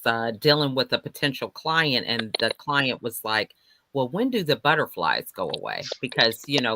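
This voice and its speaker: Male panel host, sharp bright tone, chatty and encouraging. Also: mid-range voice, slight background noise. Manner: encouraging, conversational, relates anecdotes. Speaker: panel moderator. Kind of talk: casual panel hosting. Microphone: video call.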